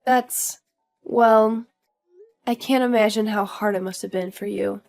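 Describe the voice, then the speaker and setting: A woman's voice: mid-range voice, fairly even intonation, unhurried, creaky, a little mellow, faint music behind. A woman playing an earnest young daughter-in-law who is polite but firm, in a scripted audio story. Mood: earnest, pleading. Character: earnest, indignant young daughter-in-law standing her ground.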